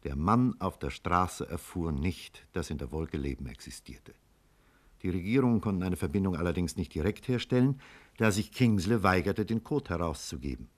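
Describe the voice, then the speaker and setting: A low-pitched man recounts grave events as a scientist looking back. His delivery is sober, formal and literary, in the manner of 1950s German radio drama. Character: measured male scientist recounting a past catastrophe. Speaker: narrator.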